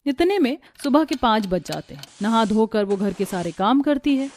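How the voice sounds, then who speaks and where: A woman's voice: fairly high pitch, very animated, normal speed, a little mellow, a little hiss or hum. A woman telling a scripted family story as its narrator.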